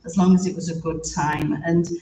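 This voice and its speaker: British man, mellow high voice, halting eulogy, roomy distant sound. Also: little pitch movement, a little hiss or hum. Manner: halting, warm and reflective, with soft laughs. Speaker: eulogy speaker. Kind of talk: heartfelt spoken tribute. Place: theater stage. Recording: echoey room from a distance.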